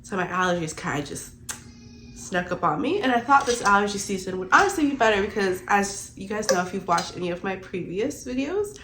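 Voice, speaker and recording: A woman's voice: medium pitch, animated, a little fast, bright, forceful tone, slight background noise. A young woman with a congested, stuffy voice, chatting casually about her reading in a rambling vlog, full of "like". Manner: chatty, heavy use of filler "like". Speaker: book vlogger.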